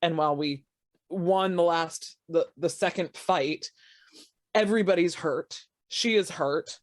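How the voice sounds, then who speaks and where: A woman's voice: on the low side, unhurried, no echo, studio quality. A woman playing a tabletop roleplaying game, talking casually about her character in an emphatic, wry way. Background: music.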